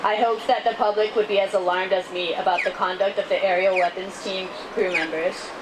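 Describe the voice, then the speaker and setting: A woman giving a measured, earnest reading of prepared testimony aloud at an outdoor rally. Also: medium pitch, fairly even intonation. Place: outdoors at a city street rally. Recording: noisy outdoor street recording.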